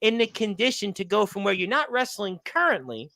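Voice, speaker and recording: A man's voice: sits high, very animated, a little fast, gravelly, bright, forceful tone, no echo, clean studio sound. A man hosting a radio interview, asking long, rambling questions that circle back on themselves. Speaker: radio show host.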